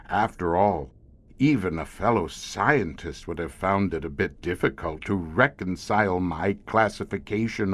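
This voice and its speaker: An actor playing a grim veteran scientist who heads a secret monster-hunting squad in an audio horror drama, speaking in a deliberate, erudite, brooding storyteller's manner. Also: male voice, lowish voice. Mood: grave, brooding.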